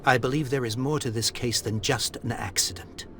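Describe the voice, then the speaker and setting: Man as a formal, grieving old gentleman. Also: mid-range voice, steady tone, slight background noise, close to an everyday voice. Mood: solemn, insistent. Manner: formal, measured, earnest. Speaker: voice actor in a video game. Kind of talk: dramatic video game dialogue.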